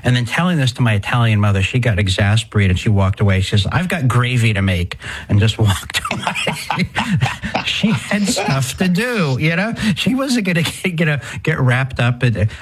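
A man's voice: mid-range voice, lively intonation, normal speed, a little hiss or hum. A middle-aged man hosting a talk radio show, wry and digressive, often repeating words as he starts a thought.

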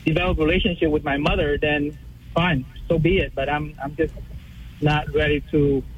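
Hesitant guy from vietnam calling an advice show, kinda husky, slightly high voice, muffled lo-fi sound. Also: flat delivery. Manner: hesitant, halting, many fillers and restarts. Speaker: caller. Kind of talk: call-in advice question.

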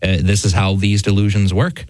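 A man's voice: low voice, monotone, moderate pace, darkish tone, dry recording. A man co-hosting a news show, chatting casually about headlines in rambling starts and restarts. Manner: talks in rambling restarts, chuckling.

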